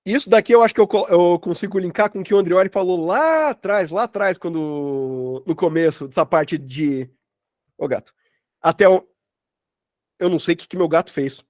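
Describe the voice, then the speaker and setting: Man, Brazilian accent, fairly high voice, dry close sound, informal teaching, rambling and slangy. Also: expressive, moderate pace, a little mellow, no room sound. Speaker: sound designer teaching a workshop. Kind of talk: informal online workshop talk, explaining work examples. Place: at home, online session.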